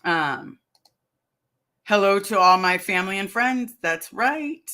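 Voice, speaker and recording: A woman's voice: medium pitch, animated, takes it slowly, bright, forceful tone. A middle-aged woman chatting casually on a live stream, reading viewer comments aloud and rambling through her answers. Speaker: vlogger answering live chat.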